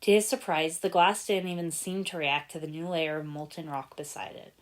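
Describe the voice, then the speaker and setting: A woman narrating a story from a script in an expressive storytelling style. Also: deep voice, normal speed. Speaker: fan fiction narrator.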